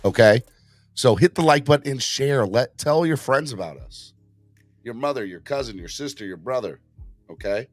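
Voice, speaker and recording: A man hosting a casual live sports fan podcast, urging viewers to subscribe, with his voice just back after being hoarse. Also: no room sound, soft background music. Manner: animated, jumps into high put-on voices for quick bits. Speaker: podcast host.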